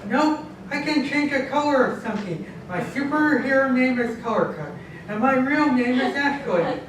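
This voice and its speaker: High, chirpy little girl played by a woman. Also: animated, normal speed. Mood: cheerful, clueless. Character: chirpy, guileless eight-year-old superhero girl. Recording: echoey room, distant mic, clear noise.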